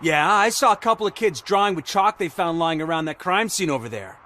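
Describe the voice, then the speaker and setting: An actor playing a mouthy young street basketball player in a video game, cocky and taunting in manner. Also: male voice, sits high, animated, brisk, sharp tone, slight background noise.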